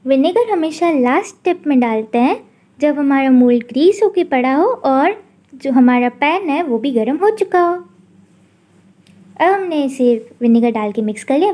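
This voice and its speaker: A woman hosting a cooking channel, calmly narrating a recipe step by step in a voice-over. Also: high-pitched. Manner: calm instructional narration.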